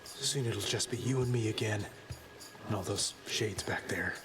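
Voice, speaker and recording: Man as a low, vain, lazy slacker youth. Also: lightly acted. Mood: dreamy, soothing. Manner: lazy, halting drawl. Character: vain, aloof slacker youth in love with himself. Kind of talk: laid-back game character acting. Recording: loud music behind.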